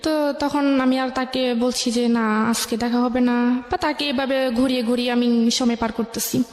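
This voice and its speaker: Female interview guest, Bangladeshi Bengali accent, fairly high voice, recounting her own story in a reverberant, echoey space. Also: fairly even intonation, normal speed, darkish tone. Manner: recounts conversations as reported dialogue. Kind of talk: personal storytelling in a radio interview. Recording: echoey room with long reverb.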